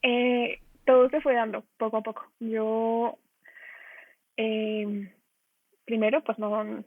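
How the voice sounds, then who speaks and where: A young Colombian woman speaks in a fairly high, even voice over a narrow, phone-like call. She answers casually as an interview guest, pausing to search for words. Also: no room sound. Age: young adult. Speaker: interview guest, design trainee. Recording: narrow telephone-like online call.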